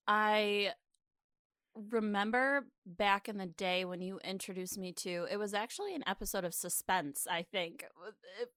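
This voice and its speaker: A woman's voice: mid-range voice, moderate pace, fairly bright tone, no room sound. Effusive woman chatting, dry close recording. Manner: effusive, emphatic. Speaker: podcast co-host. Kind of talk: casual podcast chat.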